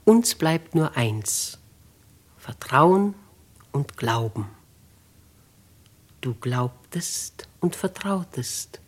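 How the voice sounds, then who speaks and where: An actress with diction playing a shrewd, kindly elderly noblewoman in a 1950s radio drama, deliberate and theatrical. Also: low-pitched, lively intonation, husky, exaggerated voice. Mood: gentle, solemn.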